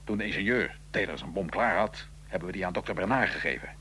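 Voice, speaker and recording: A man's voice: low-pitched, lively intonation, bright, forceful tone, slight background noise. An actor playing a proud Italian revolutionary assassin of the nineteenth century, recounting his plot in a theatrical, emphatic radio-drama manner. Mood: confident recounting. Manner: theatrical, emphatic, measured storytelling. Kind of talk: radio drama acting, interview-like dialogue.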